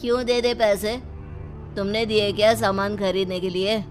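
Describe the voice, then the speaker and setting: A woman's voice: medium pitch, fairly even intonation, normal speed, soft background music, close to an everyday voice. A woman voicing bold, teasing young characters in a Hindi cartoon story. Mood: defiant.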